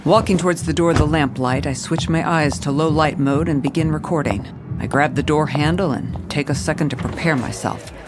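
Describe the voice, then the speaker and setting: A woman's voice: sits low, fairly quick, over music, lightly acted. A woman playing a tough, seasoned police commissioner in a noir audio drama, terse, world-weary and commanding. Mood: brooding. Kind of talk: noir scripted narration and drama.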